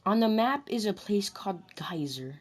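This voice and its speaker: A woman recording scripted commentary about a video game, deliberately delivering lines and often rephrasing them in retakes. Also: medium pitch, animated. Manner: deliberate, restarts and rephrases whole sentences.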